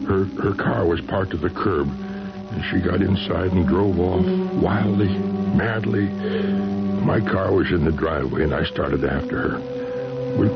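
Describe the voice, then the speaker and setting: An anguished middle-aged husband in an old radio drama. His low voice swings widely as he pleads his incredible case with earnest emphasis. The broadcast is muffled, with music behind him. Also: gravelly.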